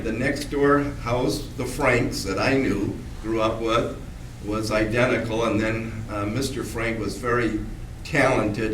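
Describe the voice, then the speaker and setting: An older man recalls family history from the audience, pausing and restarting as he talks. His voice sits at an ordinary pitch. It is picked up from a distance in a roomy hall, with a faint hum behind him. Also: fairly bright tone. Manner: halting, with many restarts and pauses. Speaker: audience member, descendant. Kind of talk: spontaneous reminiscing from the audience. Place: meeting room at a public talk.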